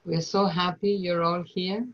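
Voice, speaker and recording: A woman from Argentina with an Argentine accent, leading an online workshop over a video call in a slow, earnest way. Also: lowish voice, level tone. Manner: slow, deliberate, earnest delivery. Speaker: nonviolence trainer. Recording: dull lo-fi online audio.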